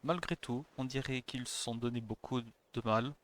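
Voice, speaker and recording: French-speaking man streaming, medium pitch. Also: fairly even intonation, normal speed, fairly bright tone. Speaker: livestreamer. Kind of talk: reading game dialogue aloud in French, with casual comments. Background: faint game music.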